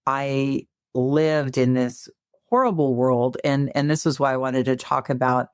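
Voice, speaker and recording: A woman with a slightly raspy voice from a cold, chatting as a podcast guest in a friendly way. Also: a bass voice, unhurried, no echo, studio quality. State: getting over a cold. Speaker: podcast guest, clinical hypnotist. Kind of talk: casual interview chat.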